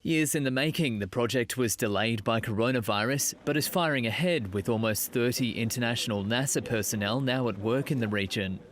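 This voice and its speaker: A man's voice: mid-range voice, a little fast, hardly any pauses, fairly bright tone, slight background noise. A man with an Australian accent narrating a scripted television news report.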